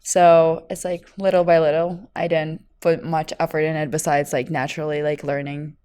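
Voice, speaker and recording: Lithuanian-born woman, low voice, laughing easily. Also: level tone, normal speed, dark tone, clean tone. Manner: laughs often while telling stories. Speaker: podcast guest. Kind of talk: casual interview chat.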